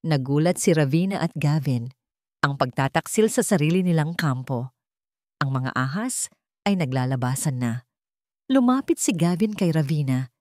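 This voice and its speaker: A woman narrates a scripted romantic drama in a fairly low voice. She tells the story in measured, short phrases. The sound is dry, with very little room in it. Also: animated, a little mellow, dry recording.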